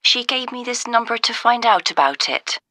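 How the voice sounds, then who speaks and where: A friendly caller asking about a holiday outing in a scripted dialogue. Her voice is bright and very animated, polite and keen. The recording is dry and close. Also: fairly high pitch, moderate pace, no echo. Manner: polite, enthusiastic. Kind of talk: scripted listening-test phone dialogue.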